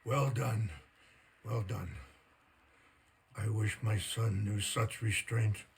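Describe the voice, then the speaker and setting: Slow, gravelly, dignified older chief. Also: male voice, fairly low pitch, fairly even intonation. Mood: solemn, grateful. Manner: measured, solemn. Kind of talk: dramatic game acting.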